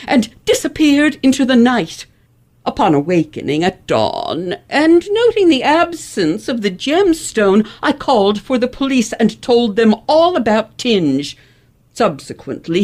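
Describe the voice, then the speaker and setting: A woman's voice: medium pitch, darkish tone. Woman doing a haughty victorian society lady for a radio play, pompous, boastful, every word carefully pronounced. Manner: pompous, grandiose, precise enunciation. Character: haughty, wealthy, indignant lady elocutionist of 1870s New England. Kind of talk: old-fashioned radio play acting.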